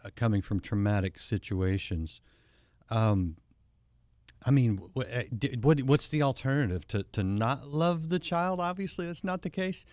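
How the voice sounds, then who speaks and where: A man's voice: mid-range voice, expressive, moderate pace, darkish tone, no echo, clean studio sound. A man hosting a talk show, asking thoughtful questions and often restarting his phrases. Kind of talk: interview conversation.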